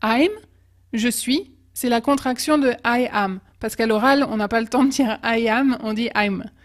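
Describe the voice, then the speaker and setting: High-voiced woman teaching English slowly. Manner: slow, careful model pronunciation of example phrases. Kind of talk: language lesson with example phrases.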